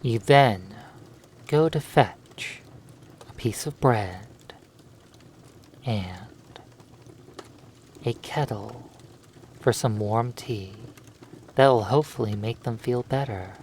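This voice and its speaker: Very slow hypnotic storyteller. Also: medium pitch, a little mellow, a little hiss or hum. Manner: measured, halting delivery in short phrases with long pauses. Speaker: hypnosis roleplay narrator. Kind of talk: slow second-person hypnosis story narration.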